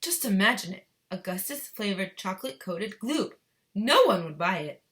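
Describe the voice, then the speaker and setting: A woman's voice: medium pitch, animated, moderate pace, a bit bright, lightly acted. A woman voicing an eccentric, breezy male confectioner from a children's story, exclamatory and blithely reassuring. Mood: breezy, amused.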